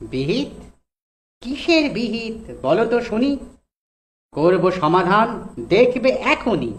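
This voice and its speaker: Someone playing a wise cartoon judge, slowish n singsong, really lively pitch, music under it. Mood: inquiring. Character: wise stalling village judge solving petitions. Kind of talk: rhyming cartoon dialogue.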